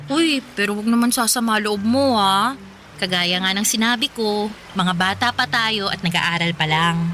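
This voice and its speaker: A woman's voice: mid-range voice, moderate pace, bright, forceful tone, a little hiss or hum, close to an everyday voice. A woman playing a playful, ambitious college girlfriend in a radio drama, speaking conversationally with a Filipino accent. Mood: reassuring, determined.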